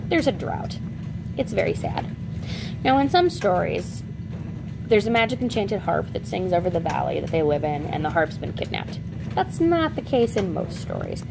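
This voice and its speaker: A woman's voice: lowish voice, very animated, moderate pace, vocal fry, background noise. A woman storyteller telling a fairy tale in a casual, improvised, conversational way with wry asides.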